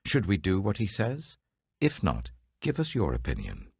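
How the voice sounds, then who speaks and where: A man's voice: deep voice, wildly swooping pitch, moderate pace, darkish tone, breathy edge, no room sound, studio quality, pitched above the performer's own voice, exaggerated voice. A man voicing an ambitious usurper prince in a scripture audiobook, commanding and pointed in his questioning. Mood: imperious.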